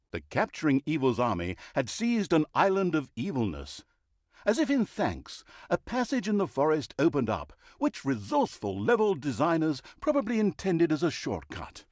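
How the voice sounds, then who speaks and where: A theatrical storyteller for a fantasy video game, in a fairly high male voice that swoops dramatically across a very wide range. He is pompous and sardonic, relishing each grand phrase with dry mockery. The recording is close and dry, studio clean. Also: a little mellow, no room sound. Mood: mocking, dry. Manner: pompous, dryly mocking, dramatic emphasis. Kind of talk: theatrical scripted storybook narration.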